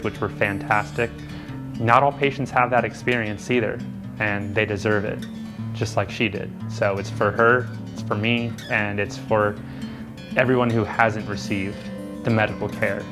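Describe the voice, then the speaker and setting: A young man, a nursing student, speaking earnestly and reflectively in an interview, with frequent fillers. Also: on the low side. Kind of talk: documentary interview. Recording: music playing underneath.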